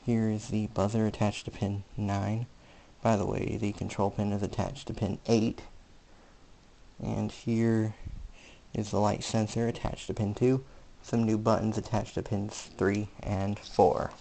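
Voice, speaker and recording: Slow-talking man with a gravelly, creaky voice. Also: fairly low pitch, flat delivery, warm tone. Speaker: hobbyist presenter. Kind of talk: casual hands-on electronics demonstration. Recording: faint background noise with clicks.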